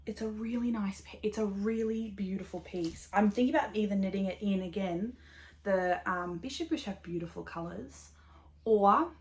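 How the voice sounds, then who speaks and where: An Australian woman in her thirties chats casually to camera as a podcast host. Her talk is rambling and upbeat, full of "like" and "um", at an ordinary middle pitch. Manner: rambling, upbeat, full of fillers and little laughs. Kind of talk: casual chatty knitting podcast.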